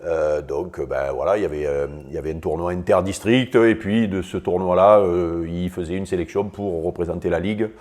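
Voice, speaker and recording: Older guy, real deep voice, lots of euh, deadpan jokes. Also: brisk, hardly any pauses. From Vaucluse, southern France. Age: 60s. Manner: rambling, many hesitant fillers, dry self-mocking humor. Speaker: guest, former footballer. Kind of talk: casual podcast interview.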